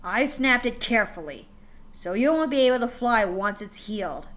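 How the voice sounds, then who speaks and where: A woman voicing a cruel, abusive male pony father who speaks loudly with a gloating, growling edge. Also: a little high, animated, normal speed.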